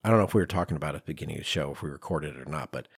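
A man hosting a podcast, explaining design history in an informal, conversational, animated storytelling way with acted-out asides. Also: extremely low pitch, racing, creaky, husky, no echo, clean studio sound. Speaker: podcast host.

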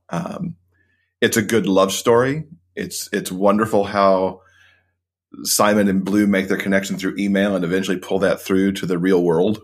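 A man's voice: low-pitched, slightly rough. A man as an interview guest, talking about books in an earnest, enthusiastic, casual way. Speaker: podcast co-host, guest.

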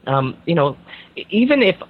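A man with a whiny, nasal voice, a podcast guest who explains in a lively way with everyday examples. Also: fairly high pitch, normal speed. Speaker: podcast guest, social media agency owner. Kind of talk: casual podcast chat. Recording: slightly dull lo-fi audio.

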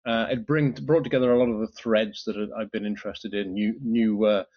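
A man's voice: on the low side, steady tone, brisk. A man with a British accent, a guest in a podcast interview, speaking thoughtfully with frequent restarts. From United Kingdom. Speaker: guest, solutions architect. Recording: slightly dull lo-fi audio.

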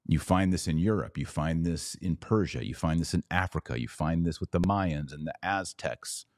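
A man hosting a podcast, giving sarcastic, animated commentary and now and then leaping to high, incredulous exclamations. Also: dry recording, studio quality. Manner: slow deliberate Latin prayer recitation. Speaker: podcast host.

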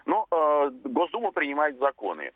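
A man nearly sixty calls in to a radio show and speaks haltingly, with many filler sounds. His pitch moves a lot. The sound is thin and dry, as if over a phone line. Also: huge pitch swings, dry recording. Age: 50s. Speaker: caller. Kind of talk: call-in radio comment.

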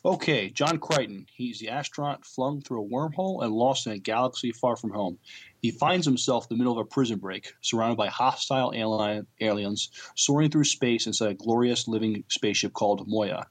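A husky-voiced man co-presenting a podcast, reading scripted promotional narration aloud. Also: mid-range voice, brisk, rough recording.

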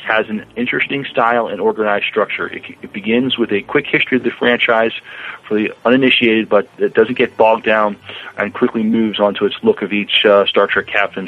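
A man co-hosting a podcast, reading out a review haltingly and stumbling over words. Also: lowish voice. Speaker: podcast co-host. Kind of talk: semi-scripted TV review. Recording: slightly dull lo-fi sound.

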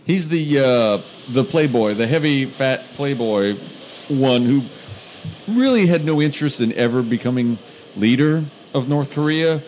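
A man's voice: mid-range voice, animated, measured pace, darkish tone, slight background noise, poor audio. Man rambling with lots of uh's. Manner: rambling, full of "uh" and restarts. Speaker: podcast co-host. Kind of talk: casual podcast chat.

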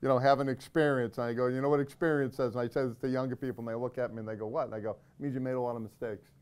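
A man's voice: medium pitch, steady tone, rapid-fire, a little mellow, smooth. A middle-aged man, a corrections officer and podcast guest, explaining things thoughtfully in casual conversation, often restarting his sentences.

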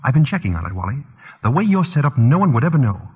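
A smooth, calculating tempter talks with a lively, mobile pitch. He probes casually and insinuatingly in vintage radio-drama style, on a dull old recording. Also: fast. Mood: tempting, scheming. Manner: casual, insinuating, probing questions. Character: smooth, probing, scheming husband tempting an employee. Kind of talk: 1940s radio drama acting. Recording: muffled vintage radio broadcast.

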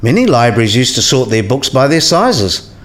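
A learned male academic voiced by an actor with a teasing, mock-formal wit in a radio play. His pitch swings widely around a middle register. He explains things like a pedantic lecturer. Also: big pitch swings, normal speed, close to an everyday voice. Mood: lecturing. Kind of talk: scripted radio play dialogue.

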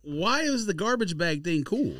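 A man's voice: high voice, very animated, normal speed, a bit bright, dry recording. An American man in casual podcast banter, skeptical and teasing as he pushes back. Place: indoor podcast studio.

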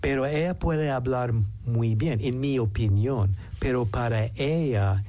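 A man's voice: mid-range voice, warm tone. A man, an English speaker, hesitantly talking as a guest in a casual interview. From United States. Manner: hesitant, halting, many restarts.

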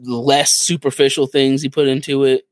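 A man's voice: mid-range voice, little pitch movement, normal speed, dry recording, studio quality. A man co-hosting a podcast, casually retelling stories and acting out what people said. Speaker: podcast co-host.

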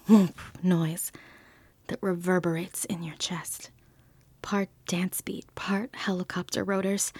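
A woman acts as an earnest field naturalist in an audio drama, full of quiet wonder. Her voice is soft, breathy and husky, recorded close and very dry. She speaks thoughtfully and lyrically, without hurry. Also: mid-range voice, dry recording. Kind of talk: scripted audio-drama field report, measured narration.

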